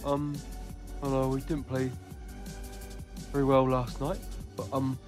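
A man voicing a sulky, childish young football manager who whines and yells at his mum. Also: mid-range voice, completely flat delivery, a little slow, faint music behind, natural voice. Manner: whiny, shouting at his mum.

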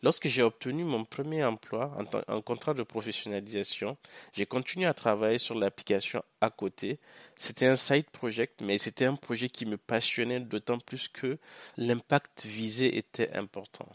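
A young man from Niger, a Hausa speaker, giving a measured, earnest first-person account on a podcast with occasional hesitant fillers. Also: medium pitch, no room sound. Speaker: podcast guest, social entrepreneur. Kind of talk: first-person podcast testimony, partly read.

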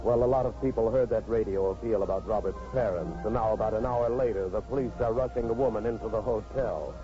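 A dull 1950s radio recording with clear music behind an actor as a male narrator. His voice is fairly low, telling a tense story in a dramatic scripted style. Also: fast, close to an everyday voice.

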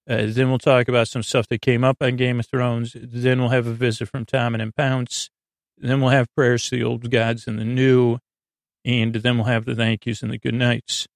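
Man, podcast host, creaky gravelly voice, dry close-miked sound, halting rambling delivery, improvised bedtime monologue. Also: medium pitch, no room sound. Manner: meandering, halting, digressive, trailing off mid-word.

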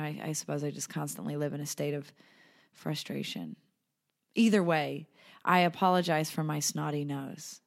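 A woman hosting a podcast, chatting casually and bluntly with plenty of swearing. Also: deep voice. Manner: informal, blunt, expletive-laden. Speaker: podcast host.

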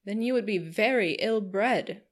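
A woman's voice: mid-range voice, measured pace, natural voice. A woman reader voicing a rude, contrary husband who grumbles with disdain. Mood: grumbling.

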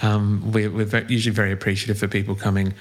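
A man interviewing a musician he has long been a fan of, speaking haltingly with many ums. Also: lowish voice, flat delivery, noisy background. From Australia. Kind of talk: interview questions.

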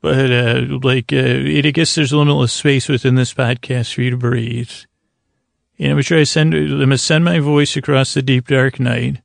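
A man with a creaky voice hosting a bedtime podcast in a languid, meandering, soothing ramble, often stumbling over his words. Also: mid-range voice, fairly even intonation, normal speed, no room sound, clean studio sound. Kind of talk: rambling, soothing sleep-aid monologue.

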